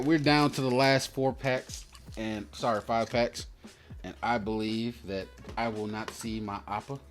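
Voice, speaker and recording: A man giving casual, rambling commentary as host while opening card packs, slangy and joking with mock-aggrieved asides. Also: medium pitch. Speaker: host of a trading card pack-opening show.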